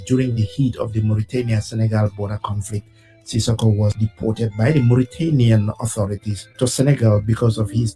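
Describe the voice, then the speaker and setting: A man presents a weekly news program in a measured, solemn read. His voice sits low and sounds warm and relaxed. Soft music plays faintly beneath his words. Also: low-quality recording. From West Africa. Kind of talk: scripted news reading.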